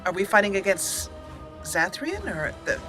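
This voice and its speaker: A woman's voice: mid-range voice, sing-song, normal speed, over music. A woman hosting a let's play with casual gameplay commentary, chatty and thinking aloud.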